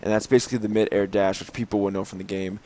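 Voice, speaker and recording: A young man with a slightly raspy, tired voice, showing off a game mod in rambling commentary full of fillers and throat clearing. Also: on the low side, steady tone, racing, warm tone. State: slightly raspy from lack of sleep. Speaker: gameplay video host. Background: game gunfire and clicks.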